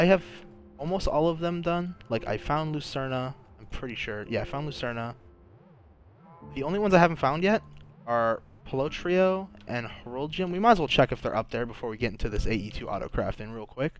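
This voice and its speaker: A young man with a sore throat, chatting casually and thinking aloud while playing a game, often restarting his sentences. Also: mid-range voice. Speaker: gaming channel host. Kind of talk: casual gameplay commentary.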